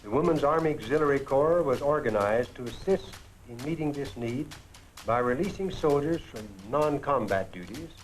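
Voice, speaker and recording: A man's voice: medium pitch, expressive, moderate pace, a little hiss or hum. A man narrating a 1940s newsreel in a formal, official tone. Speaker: film narrator.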